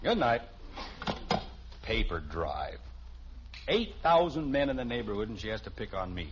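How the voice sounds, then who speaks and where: A man's voice: on the low side, very animated, moderate pace. An actor playing an exasperated middle-aged suburban father with an American accent, flustered and wry, in 1950s radio sitcom style. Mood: put upon. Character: exasperated middle-class suburban father, easily put upon. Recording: dull, hissy old radio recording.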